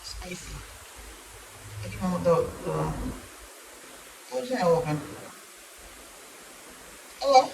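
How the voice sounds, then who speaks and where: A woman's voice: lowish voice, takes it slowly, creaky, pauses often, slight background noise, poor audio. A woman, chatting casually to her viewers at home. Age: 30s. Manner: chatty and animated, laughs often, talks to viewers directly. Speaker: vlogger. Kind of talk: casual vlog chat to camera. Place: at home in an apartment.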